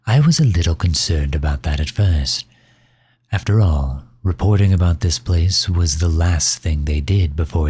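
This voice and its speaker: A man's voice: rumbling low, dark tone, husky, no echo, clean studio sound, heavily acted. A man playing a pompous, suave megalomaniac villain in an ASMR audio roleplay, with leisurely, formal wording and impatience toward his servant.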